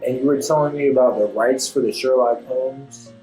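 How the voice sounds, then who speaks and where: A man with a medium-pitched voice chats informally as an interviewer, using colloquial phrasing. His voice echoes in the room, with classical music faintly behind it. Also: normal speed, mellow. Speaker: podcast interviewer. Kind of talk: casual chat. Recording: roomy echo, music underneath. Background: classical background music.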